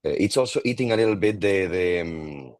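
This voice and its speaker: A man's voice: sits low, animated, fairly quick, darkish tone, no room sound, clean studio sound. A man on a community video call, explaining a new tech tool casually with many fillers and a habit of ending points with "no?". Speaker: product manager on community call.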